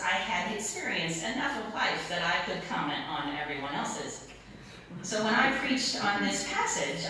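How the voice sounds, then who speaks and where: A woman's voice: lowish voice, bright, forceful tone. Echoey, distant woman preaching a sermon. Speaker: pastor. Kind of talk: sermon, conversational storytelling. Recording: very echoey room, distant mic, noisy.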